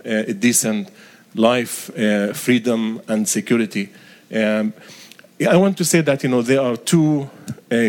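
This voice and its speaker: A man's voice: mid-range voice, darkish tone. Middle-aged man with a Palestinian Arabic accent. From Galilee, Israel. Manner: halting, careful, earnest phrasing. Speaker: bishop, interview guest. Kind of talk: reflective interview answers.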